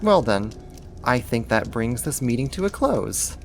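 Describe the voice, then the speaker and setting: A sadistic half-spider monster, acted out by a performer for an ASMR horror roleplay. The delivery is unhurried and theatrical, dripping with condescension toward a human captive. The pitch is moderate, with some background noise under the voice. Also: sing-song. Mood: polite, breezy.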